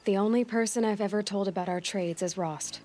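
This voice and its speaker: Woman as a headstrong young huntress, medium pitch. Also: fairly even intonation, fairly quick, mellow, slight background noise, natural voice. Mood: curious, probing. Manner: direct, questioning, determined. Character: headstrong young huntress, outcast, defiant and caring. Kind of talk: video game dialogue acting.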